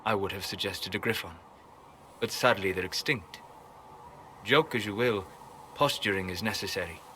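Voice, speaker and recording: An actor playing a scholarly, courteous mage in a video game, speaking in a grave, formal and faintly lofty way. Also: male voice, fairly low pitch, normal speed, pushed and bright, slight background noise. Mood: lofty, reflective. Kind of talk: video game character dialogue.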